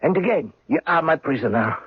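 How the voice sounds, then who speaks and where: Boastful german army captain, high husky voice, german accent, old radio drama. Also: male voice, lively intonation, brisk, no room sound. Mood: gloating. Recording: muffled old radio broadcast.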